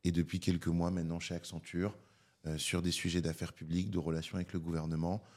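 A man with a Parisian French accent talks through his career as a podcast guest. His voice sits very low and stays level, with little rise or fall. He explains at a measured pace, pausing often on hesitations. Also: little pitch movement, a little mellow. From France. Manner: measured, filler-heavy, explanatory. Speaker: podcast guest, public affairs director. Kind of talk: casual interview talk.

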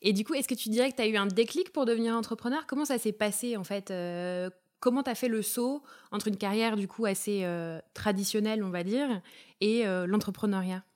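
A woman hosting a podcast, reading a clear, upbeat intro and then asking the guest questions. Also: medium pitch, a little fast, a little mellow. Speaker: podcast host. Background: music.

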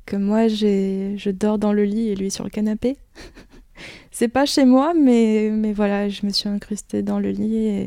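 A woman's voice: mid-range voice, steady tone, unhurried, dark tone, no echo, studio quality. A woman in her twenties telling her own story in a candid interview, hesitant, with many fillers and restarts. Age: 20s. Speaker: interviewee giving a personal testimony. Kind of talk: candid personal account.

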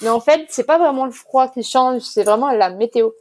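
A woman's voice: high-pitched, brisk, darkish tone. A woman in her twenties answering as a guest in a casual interview, chatty and explanatory, given to easy laughter. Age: 20s.

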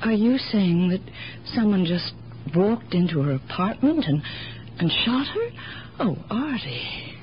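Woman acting lively, genteel older aunt. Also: mid-range voice, measured pace, natural voice. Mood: alarmed. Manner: earnest, genteel, gently chiding. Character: kindly, chatty older aunt, gently proper. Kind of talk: 1970s radio drama acting. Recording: dull old radio recording, faint noise.

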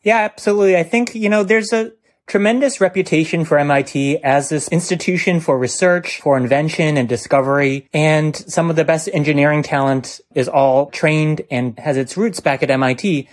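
A man's voice: fairly high pitch, fairly even intonation, normal speed. A man, a venture fund co-founder, speaking confidently and articulately as a podcast guest. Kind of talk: podcast interview.

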